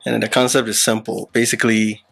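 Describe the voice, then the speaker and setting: Gravelly low-voiced man explaining, halting. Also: flat delivery, fairly bright tone. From Ghana. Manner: halting, many fillers and restarts. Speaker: podcast guest, app founder. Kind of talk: casual explanatory interview talk.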